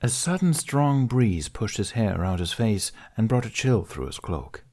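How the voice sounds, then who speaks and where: A man with a fairly low voice narrates an audiobook. He reads scripted fiction as a storyteller. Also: big pitch swings, moderate pace, darkish tone.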